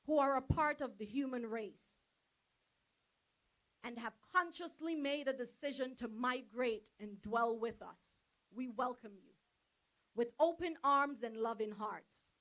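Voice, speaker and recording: A woman's voice: a little high, bright, forceful tone, rough recording. A woman giving an impassioned, declamatory protest speech at an outdoor rally in a park. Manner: declamatory, building in force. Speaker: rally speaker.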